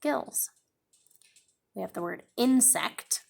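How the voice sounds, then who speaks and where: A young woman teacher reading a picture book aloud for children in a classroom, pausing to explain words and pages. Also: medium pitch, creaky, slightly rough. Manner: slow, expressive storytime reading with explanations.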